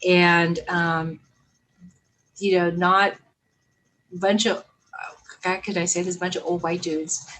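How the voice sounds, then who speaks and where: Lively older woman, low voice. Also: slow pace, long pauses, low-quality recording. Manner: animated storyteller, laughs often. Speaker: podcast guest, business owner. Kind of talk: casual interview chat.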